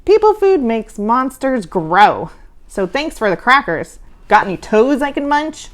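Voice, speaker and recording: A woman's voice: on the high side, big pitch swings, unhurried. A woman voicing a huge, playful male monster in a children's storybook, menacing yet chummy. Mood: friendly, playful. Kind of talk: children's storybook read-aloud.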